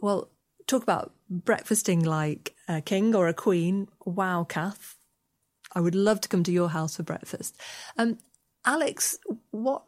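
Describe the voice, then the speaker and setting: A woman hosting a radio discussion, presenting and putting questions to guests. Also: lowish voice. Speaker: radio programme host. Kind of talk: broadcast interview and presenting.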